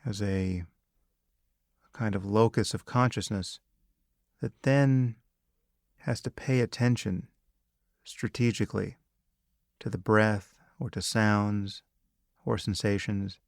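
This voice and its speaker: A man leading a guided meditation, giving calm, measured instructions with long pauses. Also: lowish voice, no echo, studio quality.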